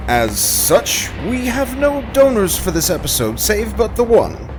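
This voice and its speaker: Animated male podcast announcer over music. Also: sits high, a little slow. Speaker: podcast host and narrator. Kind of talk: scripted, theatrical announcer narration.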